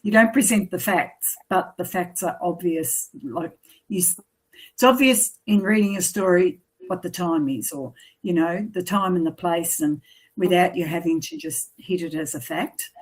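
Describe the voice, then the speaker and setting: A woman hosting a casual interview, affirming her guest often with quick yeahs. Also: on the low side, husky, poor audio. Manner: warm affirming, frequent yeahs. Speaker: podcast host.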